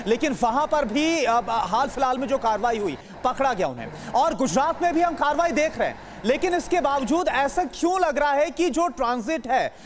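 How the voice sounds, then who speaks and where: Female TV anchor, raised pitch, very animated delivery, emphatic interviewer, slight noise behind. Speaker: news anchor. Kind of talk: live TV news interview, questioning.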